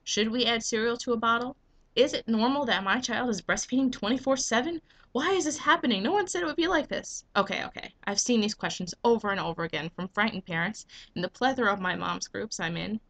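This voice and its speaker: A woman's voice: on the high side, a little fast, fairly bright tone. A young woman with a cold, making an earnest, emphatic case to her webcam with lots of rhetorical questions. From United States. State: getting a cold. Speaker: vlogger and business owner. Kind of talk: persuasive webcam vlog. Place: small indoor room at home. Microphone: webcam at desk.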